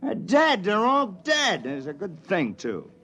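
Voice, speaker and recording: An actor playing a crude, loudmouthed male spaceman in a 1950s radio drama, brash and coarse. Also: high voice, huge pitch swings, slow pace, husky, exaggerated voice. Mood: callous. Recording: noisy old radio broadcast.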